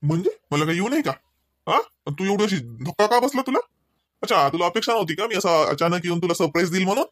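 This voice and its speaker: A man's voice: high-pitched, lively intonation, fast, a bit bright, no echo, clean studio sound. A man with a Vidarbha Marathi accent playing a needling young husband in a comedy cartoon, chatty and mock-reproachful. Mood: teasing. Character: teasing, needling young husband at his in-laws.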